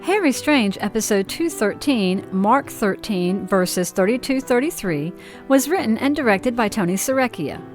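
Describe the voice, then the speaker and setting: A woman's voice: on the high side, animated, moderate pace, soft background music. A woman announcer reading scripted closing credits for a show.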